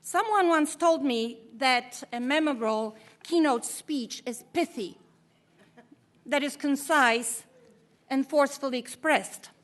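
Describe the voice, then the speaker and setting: A middle-aged woman from Poland, a professor, giving a formal commencement keynote at a podium microphone in a measured, deliberate way. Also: high-pitched.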